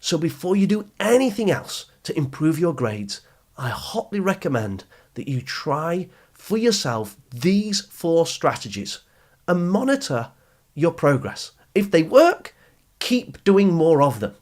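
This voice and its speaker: A man's voice: on the high side, very animated, a little slow, darkish tone. A man hosting a podcast, speaking to listeners in an encouraging, instructional way with emphatic phrasing. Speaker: podcast host and education speaker. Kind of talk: scripted podcast narration, addressing listeners directly.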